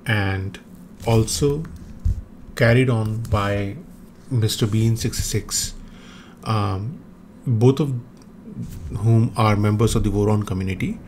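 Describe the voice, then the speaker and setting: A man's voice: on the low side, slow, pauses often, darkish tone, slight background noise, rough recording. A man whose first language is Hindi, explaining his own 3D printer tool changer design in a screen-recorded walkthrough, hesitant with many ums and restarts.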